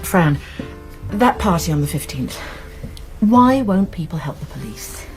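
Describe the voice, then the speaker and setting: An actress playing a sensible, caring college nurse in a British radio drama, speaking in a measured, articulate, earnest way with a British accent. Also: female voice, on the low side, sing-song, a little mellow, faint music behind, rough recording. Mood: concerned, urging. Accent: British English. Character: college nurse, sensible, principled, caring woman amateur sleuth.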